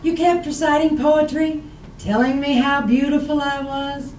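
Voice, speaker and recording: A woman's voice: high voice, steady tone, measured pace, darkish tone. A woman playing a nagging, romantic, chatty wife in a radio comedy sketch, needling and plaintive. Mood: fretful, wheedling. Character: nagging, romantic, chatty wife who won't let husband sleep. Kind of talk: old-time radio comedy sketch acting. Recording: echoey live room, distant mic, faint noise.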